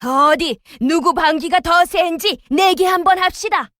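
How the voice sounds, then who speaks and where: A woman's voice: very high-pitched, a little slow, a bit bright, no room sound, clean studio sound. A woman voicing a feisty matron in a children's folktale, with theatrical, exclamatory delivery. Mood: challenging. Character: feisty folktale matron, quick to take offence.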